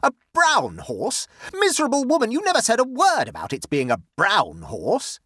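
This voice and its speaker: A man voicing an eager, easily exasperated young gentleman gambler in a storybook, petulant and insistent. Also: fairly low pitch, huge pitch swings, normal speed, breathy edge, no echo, clean studio sound, full character voice. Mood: outraged. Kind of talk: audiobook character dialogue.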